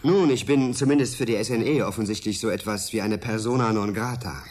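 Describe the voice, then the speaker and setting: An actor in a German radio drama playing a politely persistent journalist with a dry, probing manner. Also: male voice, fairly low pitch, a little fast, darkish tone, close to an everyday voice.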